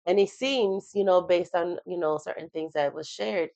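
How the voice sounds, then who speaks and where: A woman's voice: lowish voice, normal speed, creaky, dark tone, no room sound. A woman in her twenties hosting a podcast, asking questions casually and affirming her guest's answers. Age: 20s. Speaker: podcast host.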